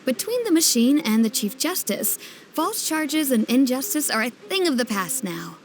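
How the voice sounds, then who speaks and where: A woman's voice: high-pitched, very animated, moderate pace, a little hiss or hum. An actress playing an eloquent townswoman in a video game, speaking with theatrical, polished phrasing. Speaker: local townsperson. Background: game score music.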